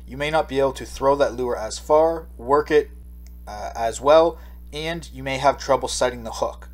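A man's voice: medium pitch, moderate pace. A man narrating a slideshow lesson as a fishing instructor, explaining steadily with occasional fillers. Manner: explanatory, reads steadily with occasional ums and uhs. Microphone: voice-over.

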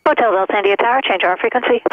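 An air traffic controller giving clipped, procedural instructions in rapid phraseology over an aviation radio. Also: mid-range voice, no echo. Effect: aviation radio transmission. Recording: tinny narrowband aviation radio.

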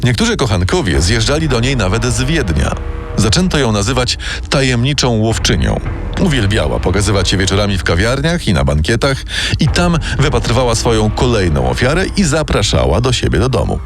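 Lively male true-crime narrator over music. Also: mid-range voice, brisk. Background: music bed.

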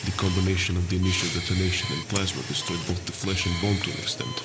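A man's voice: low-pitched, level tone, very fast, heavy background noise, close to an everyday voice. A man playing a brusque, proud military surgeon in an audio drama. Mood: matter-of-fact. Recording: loud music behind the voice.

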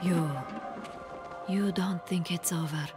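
A gentle alien warrior woman in a game cinematic. The voice is fairly low, soft and slow, with music behind it. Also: fairly even intonation. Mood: solemn.

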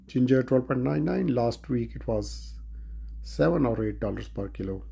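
A man's voice: mid-range voice, lively intonation, normal speed, gravelly, dark tone, breathy edge. A man vlogging a walk through a large grocery store, plainly listing produce and prices. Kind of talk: grocery store walkthrough narration.

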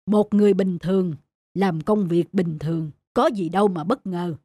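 Calm, modest older man in a cartoon. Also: high-pitched. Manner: measured, firm, unassuming. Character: calm, modest older male resort owner picking up litter. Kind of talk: cartoon voice acting. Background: faint music.

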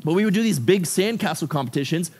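A man's voice: sits high, quick pace, breathy edge. Chatty man preaching to teens. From Ecuador. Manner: casual, animated, filler-heavy with frequent "like" and "right?". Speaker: youth pastor. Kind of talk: youth sermon. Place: youth service room with about fifty people.

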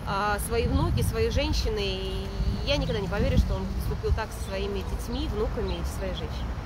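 A woman's voice: medium pitch, fairly quick. A woman in her thirties from Latvia, giving an earnest, emphatic street interview outdoors, often adding a checking "да". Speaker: protester. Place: outdoors on a city square by a government building. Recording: street with loud traffic and crowd noise.

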